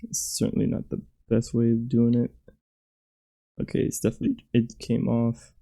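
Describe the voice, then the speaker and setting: A man, hoarse from losing his voice, giving halting, self-critical commentary while playing through his own game level. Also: medium pitch, completely flat delivery, warm tone. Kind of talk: gameplay commentary.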